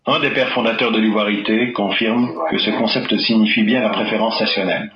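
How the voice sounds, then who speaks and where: A man giving measured, formal documentary narration. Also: fairly low pitch, a crowd in the background. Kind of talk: scripted narration. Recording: dull roomy archive audio.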